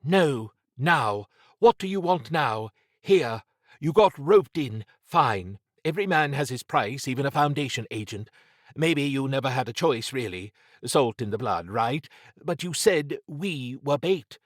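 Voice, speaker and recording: A man's voice: mid-range voice, animated, measured pace, dry recording, studio quality, voice pushed up. A man voicing a bold, probing woman investigator in an audiobook, firing pointed, challenging questions. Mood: defiant, pressing.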